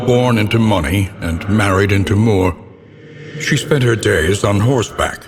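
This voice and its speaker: A man's voice: low-pitched, big-room sound, noisy background. A man playing a grim, brooding game narrator, telling gothic horror tales in a grave, theatrical, ornate manner. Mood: grim, sardonic. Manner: grave, ornate, deliberately dramatic delivery.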